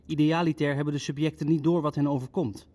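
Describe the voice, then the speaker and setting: An actor playing an orderly, sceptical Nazi bureaucrat in a radio play, with a measured, matter-of-fact manner. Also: male voice, a little high, fairly even intonation, warm tone, natural voice. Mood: sceptical, clinical. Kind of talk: Dutch radio play dialogue.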